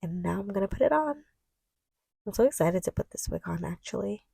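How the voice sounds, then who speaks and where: A woman's voice: medium pitch, expressive, brisk, vocal fry, warm tone, slightly rough, dry recording, studio quality. A woman beauty vlogger with a slightly stuffy voice, chatting casually and gushingly to viewers about a product. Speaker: beauty vlogger reviewing wigs. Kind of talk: casual chat to viewers, product unboxing voice-over.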